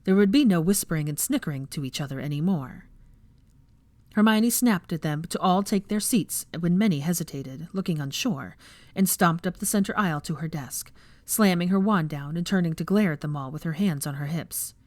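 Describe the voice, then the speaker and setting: A woman narrates a piece of fan fiction in a scripted storytelling style. Her voice sits slightly low, and the recording is dry, with very little room around it. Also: moderate pace, a little mellow, no room sound. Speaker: audiobook narrator.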